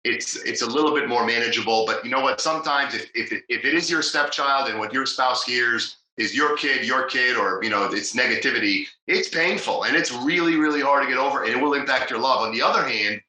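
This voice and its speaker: Male podcast host, mid-pitched, upbeat and supportive; sharp, forward tone; reverberant room. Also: a little fast, few pauses. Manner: enthusiastic, many fillers and restarts, encouraging. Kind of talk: casual interview talk.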